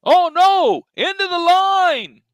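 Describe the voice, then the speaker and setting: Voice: very high-pitched, lively intonation, slow pace, no room sound, full character voice. A performer voicing a brash, boastful cartoon dad creature in an exaggerated, exclaiming table read. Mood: alarmed excitement.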